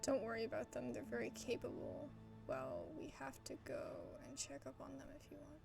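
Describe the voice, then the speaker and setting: High, creaky-voiced woman playing a game. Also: very animated, brisk, over music. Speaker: gamer playing along. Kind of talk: casual game playthrough, reading dialogue and reacting.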